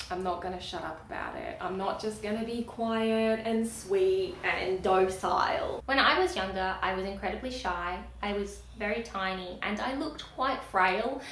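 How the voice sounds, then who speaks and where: A woman giving outspoken, emphatic commentary in a video blog, talking loudly and firmly about what she stands for. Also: medium pitch, echoey room, a little hiss or hum, rough recording. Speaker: video creator. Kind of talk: opinionated commentary vlog.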